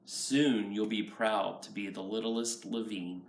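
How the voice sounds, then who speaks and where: A man voicing a patient, reassuring old grandfather in a children's storybook, gentle and encouraging. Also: on the low side, fairly even intonation, slow, gravelly, voice pushed down. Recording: roomy, far from mic, faint noise.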